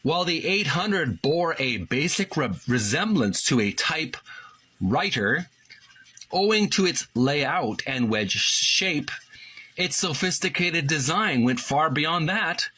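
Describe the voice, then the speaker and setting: A man's voice: a little high, animated, takes it slowly, sharp tone, breathy edge, poor audio. A man hosting his own channel, recovering from a cold and casually reading a book aloud, rambling with many fillers. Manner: rambling, filler-heavy, self-deprecating. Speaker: host.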